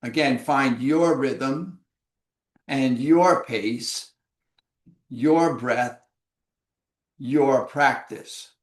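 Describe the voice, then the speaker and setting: A man leading a yoga class through breathing exercises, giving slow, calm, measured cues. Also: medium pitch, reverberant. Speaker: yoga instructor. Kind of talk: guided breathing instruction.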